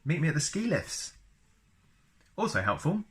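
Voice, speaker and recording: A man's voice: on the high side, big pitch swings, moderate pace, fairly bright tone. A man reading a book aloud as a storyteller, chatty and often slipping into casual asides. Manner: chatty, wanders into personal asides.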